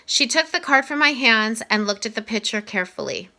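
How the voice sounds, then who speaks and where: Woman reading a kids novel out loud, bright clear-cut voice, expressive storytelling. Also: on the high side, fairly quick. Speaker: reader. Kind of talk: reading a children's novel aloud.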